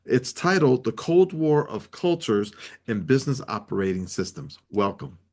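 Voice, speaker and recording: A man with a mid-pitched voice acts as host of an interview show. He speaks in a formal introductory style, phrasing things in a measured and deliberate way. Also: very animated, darkish tone.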